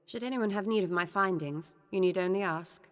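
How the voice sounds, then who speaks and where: An actress playing a cool, scholarly woman in a fantasy game, speaking formal, measured English. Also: mid-range voice, natural voice. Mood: composed. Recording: long hall reverb.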